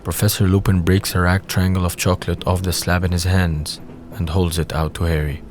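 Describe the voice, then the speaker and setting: A man's voice: deep voice, flat delivery, brisk, a little mellow. A man reading scripted scene narration for an audio drama. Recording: faint background noise.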